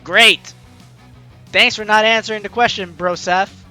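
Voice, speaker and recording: A man hosting a game playthrough, chatting in animated asides as he comments and reads along. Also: sits high, measured pace, soft background music. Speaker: let's play host. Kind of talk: game commentary mixed with reading dialogue aloud.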